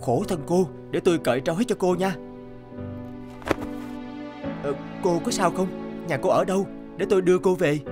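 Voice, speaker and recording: An actor playing an earnest, easily startled young woodcutter who exclaims and wonders aloud in a children's fairy-tale cartoon. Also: male voice, sits high, normal speed, pauses often, over music, natural voice. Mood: polite.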